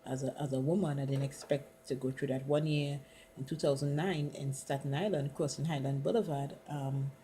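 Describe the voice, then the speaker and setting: A woman's voice: a bass voice, fairly even intonation, normal speed, dark tone, a little hiss or hum. A middle-aged woman from the Caribbean, chatting casually and leaning on "you know" fillers as she interviews her partner. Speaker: student interviewer. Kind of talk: casual conversation.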